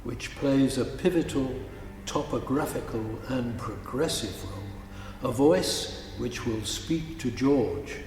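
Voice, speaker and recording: A man giving a measured, declamatory scripted literary narration in a large reverberant space. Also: mid-range voice, darkish tone. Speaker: narrator of a musical cantata. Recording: echoey hall, music behind.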